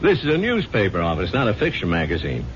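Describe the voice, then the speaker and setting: An actor playing a brusque, scornful newspaper chief in a radio drama. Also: male voice, low-pitched, wildly swooping pitch, fast, slight background noise. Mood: gruff. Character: gruff impatient newspaper chief editor. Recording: lo-fi old radio recording.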